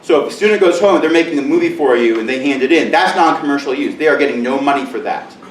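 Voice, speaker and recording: A middle-aged male teacher giving a conference presentation in a loud, booming voice with joking asides, recorded from far off in a large room. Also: expressive, fast talker, reverberant, a little hiss or hum. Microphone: camera mic from far off.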